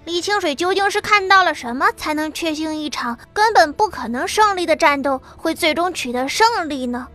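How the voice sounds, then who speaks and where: Woman voicing a weary young psychic, quiet, thoughtful, bright high voice, soft music behind. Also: lively intonation, normal speed, hardly any pauses.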